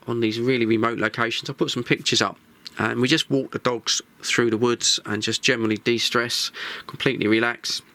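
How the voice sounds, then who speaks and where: An English man hosting a hobby vlog, chatting casually and informally in a rambling way with hesitant pauses. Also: medium pitch, sharp tone. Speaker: host of a radio hobby channel. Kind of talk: casual vlog chat, gear preview.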